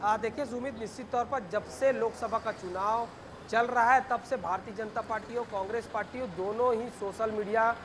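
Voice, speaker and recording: Guy reporting live, really high voice, long wordy sentences, bit of background noise. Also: fairly even intonation, moderate pace. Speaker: field news reporter. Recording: noisy live link with ambient sound.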